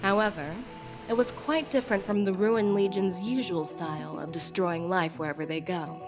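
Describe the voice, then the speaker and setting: A woman's voice: fairly low pitch, fairly even intonation, normal speed, close to an everyday voice. An actress playing a confident, shrewd female general in a video game, speaking formally and probingly. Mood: assured, formal. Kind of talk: game voice acting. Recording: game music playing behind.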